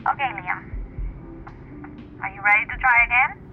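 A woman's voice: a little high, moderate pace, phone-quality sound, soft background music, natural voice. An actress playing a cautious, patient woman coaching a friend, in a naturalistic audio drama with a careful, conversational delivery.

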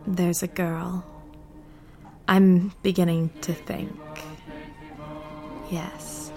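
Slow, creaky-voiced, bitter diarist. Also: female voice, lowish voice, little pitch movement, mellow, soft background music. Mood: bitter, gloating. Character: bitter, defiant female diarist, proud rebel confiding secrets. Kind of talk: dramatic diary reading in audio drama.

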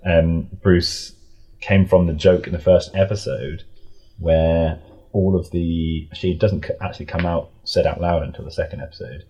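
A man chatting casually as an interview guest, in a rambling, halting way that often doubles back on itself. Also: sits low, fairly even intonation, dark tone, slightly rough, dull audio. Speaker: audio drama creator, interview guest.

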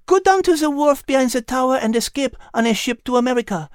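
A man voicing a kind, brave little female cat with a French accent, speaking gently and earnestly in a storybook narration. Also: very high-pitched, animated, fast, clear, resonant tone, no echo, studio quality, voice pushed up. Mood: urgent, kind. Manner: gentle, earnest, formal wording.

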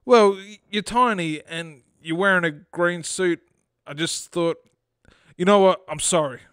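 A man's voice: high-pitched, lively intonation, moderate pace, a bit bright, smooth, dry recording, studio quality. A man voicing a weary, hesitant, apologetic male traveller in a comic story. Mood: apologetic, baffled. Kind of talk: comic short-story reading.

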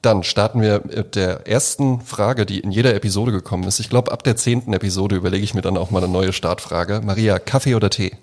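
Ein Mann moderiert ein Podcast-Interview, gesprächig und zugewandt, mit vielen bestätigenden Einwürfen. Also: male voice, fairly low pitch, brisk, hardly any pauses, darkish tone. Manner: friendly, chatty, lots of affirming fillers. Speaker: podcast host.

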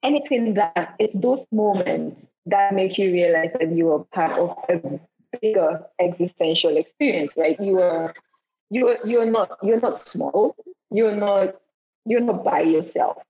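A woman from Ghana, a guest in a podcast interview, telling travel stories in an animated way, acting out the voices she quotes and often restarting her sentences. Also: fairly low pitch, measured pace, slightly rough. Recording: dull lo-fi audio.

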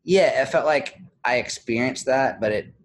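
A man speaking as an interview guest, candid and reflective, pausing often with fillers and restarts. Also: medium pitch. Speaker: guest, blogger.

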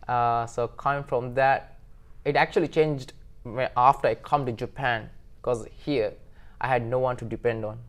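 A man's voice: medium pitch, fairly even intonation, normal speed. A man, answering interview questions earnestly and agreeing often. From Sri Lanka. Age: 20s. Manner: earnest, agreeable, with many short yeses and some hesitant ums. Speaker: interview guest.

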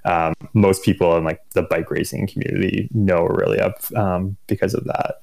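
A man's voice: low voice, steady tone, moderate pace, gravelly, darkish tone. A Canadian-accented man in his thirties chatting casually as an interview guest, informal and searching for his words. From Ontario, Canada. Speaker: interview guest, endurance cyclist.

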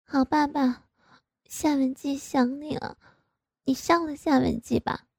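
A coy, pouting young married woman wheedles in a high, lifted voice. A woman plays her in a slow storybook reading, with a dry, close sound. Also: vocal fry, mellow, no echo. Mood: wheedling.

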